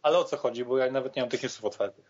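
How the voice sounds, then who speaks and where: A man's voice: medium pitch, rapid-fire, darkish tone. A man chatting casually, contrarian and stumbling over repeated words. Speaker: podcast panelist. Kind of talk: casual chat. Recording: dull lo-fi voice recording.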